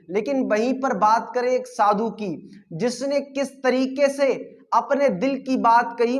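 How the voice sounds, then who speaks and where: A commentator addressing viewers in an emphatic, repetitive and rhetorical way, as the host of an opinion video. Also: medium pitch.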